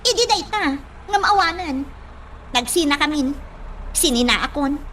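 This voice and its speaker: A pushy, impatient woman in a radio drama. Her voice is extremely high and sharp, and she nags and scolds with exasperated insistence. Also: expressive, normal speed, slight background noise. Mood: complaining. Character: bossy, impatient older sister figure, moody and pushy. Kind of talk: radio drama acting in Ilocano.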